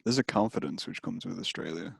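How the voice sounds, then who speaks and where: A man with an English Midlands accent, chatting as a podcast host. His voice sits low with a gravelly edge, and he hesitates and restarts often. The sound is dry and close, with no room around it. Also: fairly quick, no room sound. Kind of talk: casual chat.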